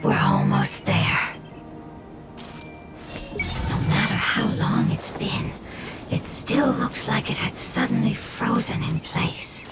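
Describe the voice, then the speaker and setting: A woman voicing a gentle, wondering forest spirit in a video game, speaking in measured, formal phrases. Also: medium pitch, in a whisper, over loud music, lightly acted. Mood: wistful.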